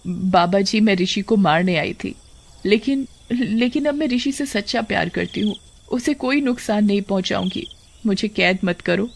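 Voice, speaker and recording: Man voicing a pleading, lovestruck young witch, high-pitched. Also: lively intonation, normal speed, vocal fry, a little hiss or hum. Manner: earnest, imploring.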